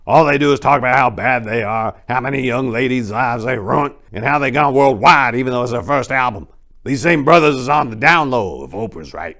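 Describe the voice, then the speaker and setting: Loud, raspy old Southern preacher-bluesman. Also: mid-range voice, expressive, brisk, pitched above the performer's own voice. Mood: indignant, riled. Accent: American South. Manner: ranting, scripture-quoting, forceful.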